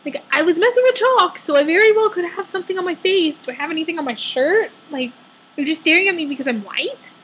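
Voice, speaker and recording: A young woman telling a casual personal story in an animated, exaggerated way, acting out her own asides as a storytelling vlogger. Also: very high-pitched, quick pace.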